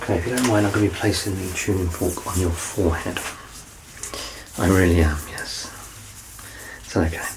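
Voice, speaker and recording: A man playing a doctor in an ASMR medical exam roleplay, soft-spoken and reassuring, with gentle teasing and close whispered passages. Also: low-pitched, brisk, long pauses, background noise. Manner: slips into unvoiced whispering up close.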